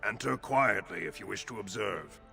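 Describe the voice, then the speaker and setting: A man's voice: lowish voice, expressive, moderate pace, vocal fry, music in the background. A man playing a solemn dwarf guard in a video game, speaking in a curt, official way.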